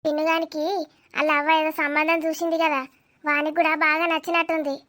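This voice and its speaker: A woman's voice: high voice, flat delivery, fast, fairly bright tone. Woman as a piping, nosy Telangana village aunt. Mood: gossipy. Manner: inquisitive, chattering. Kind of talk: cartoon village comedy acting.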